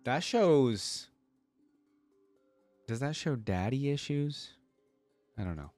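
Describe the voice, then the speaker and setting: A man's voice: mid-range voice, animated, slow, a little mellow, no echo, soft background music. A man giving casual, chatty commentary as a reaction video host. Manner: chatty, easily amused.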